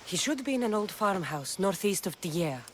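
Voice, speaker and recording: A woman's voice: mid-range voice, big pitch swings, normal speed, a little hiss or hum, close to an everyday voice. A woman voicing a determined young female mercenary of ancient Greece in a video game, speaking firmly and bluntly. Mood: resolute. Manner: firm, blunt. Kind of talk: dramatic video game dialogue. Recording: game soundtrack music behind the voice. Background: music.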